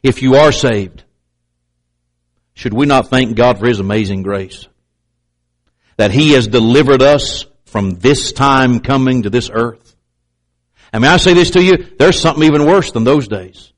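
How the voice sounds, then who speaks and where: A man's voice: medium pitch, unhurried, pauses often, rough recording. Fiery preacher man, slight stutter. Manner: emphatic, repetitive pulpit delivery with pointed asides; slight stutter, clamps up on words. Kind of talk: sermon.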